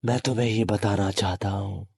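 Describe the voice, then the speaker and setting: A man playing a menacing, possessive demon in an intimate audio roleplay, taunting the listener in a halting delivery with long pauses. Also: fairly low pitch, fairly even intonation, creaky, a little mellow, slightly rough, dry recording, studio quality. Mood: possessive, commanding. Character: menacing, possessive male demon, captive turning predator.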